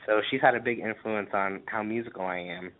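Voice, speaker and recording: Man, low pitch, casual interview talk, musician guest, tinny phone-line sound. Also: fairly even intonation, brisk. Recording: thin phone line, radio show.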